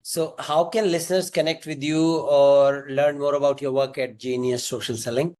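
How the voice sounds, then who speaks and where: A man's voice: medium pitch, steady tone, moderate pace, a little mellow. A man hosting a podcast interview, asking prepared questions and agreeing briefly. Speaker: podcast host.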